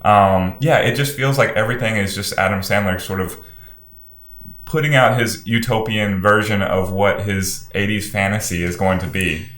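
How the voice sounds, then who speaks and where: A man's voice: lowish voice, moderate pace, a bit bright. A man on a podcast panel giving wordy, analytical opinions in casual film discussion. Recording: roomy echo around the voice.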